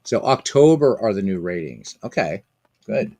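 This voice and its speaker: A man's voice: fairly low pitch, big pitch swings, moderate pace, darkish tone, no room sound. A man hosting a casual live stream of a baseball game, rambling, repeating himself and chatting with viewers by name.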